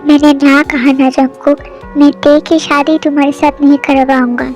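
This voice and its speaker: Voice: high voice, level tone, moderate pace, faint music behind, pitched below the performer's own voice. A young performer voicing a stubborn middle-aged father who scolds and dismisses. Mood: stern.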